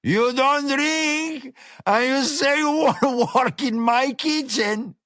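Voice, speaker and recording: A burly, mocking diner owner, voiced by a man. His brash voice sits well above a man's usual pitch and swings around. The read is dry and close, with no room sound. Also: very animated, slow pace, no room sound, full character voice. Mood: gruff mocking. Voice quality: loud, brash, laughing. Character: burly gruff male restaurant owner, mocking.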